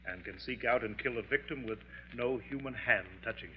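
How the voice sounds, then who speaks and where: Actor as florid, sinister professor on muffled old radio. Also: male voice, mid-range voice, a little fast, natural voice. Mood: grandly ominous. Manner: formal, florid, showman-like. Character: smooth, theatrical male professor, slyly sinister lecturer. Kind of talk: 1950s radio mystery acting. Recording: muffled, hissy 1950s radio recording.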